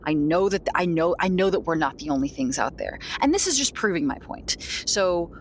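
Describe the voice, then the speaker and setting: Halting, slightly low-voiced woman player. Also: animated. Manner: halting, repeats phrases. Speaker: player in a tabletop horror game. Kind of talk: casual improvised in-character table talk. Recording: clear background noise.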